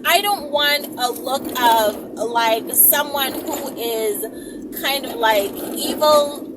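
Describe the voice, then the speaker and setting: A woman's voice: high voice, slow. A woman hosting her own video channel, chatting casually and emphatically to her viewers and often checking in with them. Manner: animated, emphatic, repeatedly checking in with listeners. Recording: distant mic, loud ambient noise.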